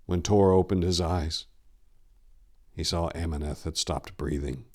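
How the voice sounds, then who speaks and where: A man narrating dark fantasy horror as a dramatic reading, with a grave, intense, theatrical delivery. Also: sits low, fairly even intonation, a little slow.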